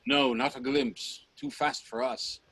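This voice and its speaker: An actor playing a bold, probing scientist and time traveller in a radio play, speaking in an assertive, professorial way. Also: male voice, medium pitch, fairly even intonation, takes it slowly, a bit bright, no echo. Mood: urgent. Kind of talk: radio drama acting. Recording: noisy video-call audio with clicks.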